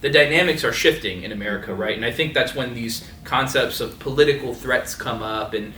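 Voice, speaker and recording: Bright-voiced man in an echoey room. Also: mid-range voice, brisk, far from the mic, a little hiss or hum. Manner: earnest, builds long points, tags lines with "right?". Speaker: panel guest. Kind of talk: casual panel discussion.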